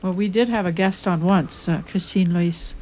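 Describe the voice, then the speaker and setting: A woman's voice: on the low side, fairly even intonation, normal speed, a little mellow, rough recording. A woman from Michigan hosting a casual radio talk show, steering the conversation. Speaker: radio host.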